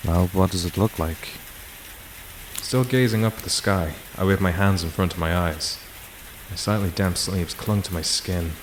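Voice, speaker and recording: A man's voice: low-pitched, moderate pace, slight background noise, lightly acted, low-quality recording. A man playing a weary, aloof math teacher, narrating and talking in a dry, resigned way for a visual novel fandub. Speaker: narrator and lead character. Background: music and rain sounds.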